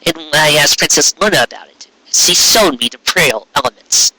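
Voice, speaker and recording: Man voicing an earnest young mare unicorn, husky. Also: fairly high pitch, lively intonation, moderate pace, pushed and bright, no echo, full character voice, poor audio. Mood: earnest explaining. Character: young female unicorn magician, defensive and explanatory.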